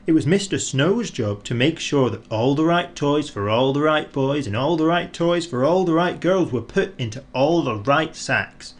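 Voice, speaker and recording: A man narrating a children's storybook in an expressive, deliberate way, drawing out words for emphasis. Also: a little high. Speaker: audiobook narrator.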